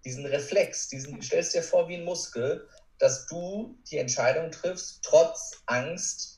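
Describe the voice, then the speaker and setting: A man's voice: medium pitch, creaky. A man giving an informal, emphatic motivational talk as a coach, addressing the listener directly. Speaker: podcast guest, courage coach. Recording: roomy echo, distant from mic.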